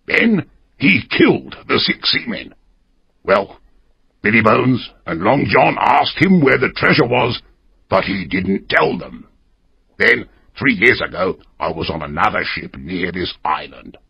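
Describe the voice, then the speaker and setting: A man voicing an excitable, half-crazed old castaway marooned for years, high and pleading, his voice breaking. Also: unhurried, gravelly, husky, dull audio, exaggerated voice. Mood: excited pleading. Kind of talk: audiobook character reading.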